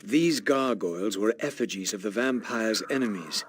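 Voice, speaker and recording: An actor playing a brooding, aristocratic vampire who narrates his thoughts in a formal, measured, portentous way for a video game. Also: lowish voice, lively intonation, faint music behind, close to an everyday voice. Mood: solemn, brooding. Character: brooding, solemn male vampire, weary and aristocratic. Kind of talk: scripted video game narration, introspective monologue.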